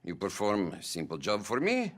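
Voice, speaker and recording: An actor playing an effusive, mocking Italian crime boss in a video game, with an Italian accent and jovial menace. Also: male voice, fairly low pitch, huge pitch swings, normal speed, a bit bright. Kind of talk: dramatic video game acting.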